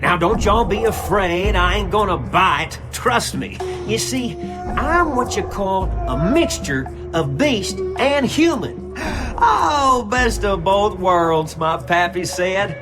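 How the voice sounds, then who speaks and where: A folksy, friendly half-human, half-beast demon from a video game, talks in a slow Southern drawl. His voice is high for a male and swoops around animatedly over music. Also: big pitch swings, exaggerated voice. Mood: folksy, welcoming. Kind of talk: scripted video game acting.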